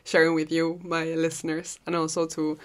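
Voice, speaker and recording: A young woman with a Peruvian Spanish accent talks through a casual solo podcast. Her voice sits a little low, and she rambles freely, laughing often and dropping the odd swear. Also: monotone, normal speed. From Peru. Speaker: podcast host.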